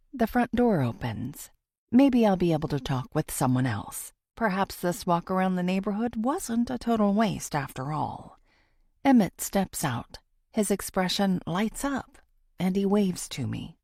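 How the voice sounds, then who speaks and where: Expressive low-voiced woman narrating. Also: vocal fry, no echo, studio quality. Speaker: audiobook narrator. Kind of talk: first-person scripted thriller narration.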